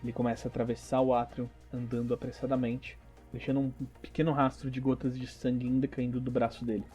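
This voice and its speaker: A man's voice: medium pitch, little pitch movement, fast talker, warm tone, soft background music. A man narrating a tabletop role-playing game as game master.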